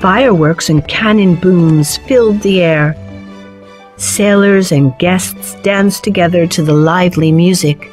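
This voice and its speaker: A woman narrating a children's fairy tale in a measured, clearly phrased storytelling manner. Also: fairly low pitch, darkish tone. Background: background music.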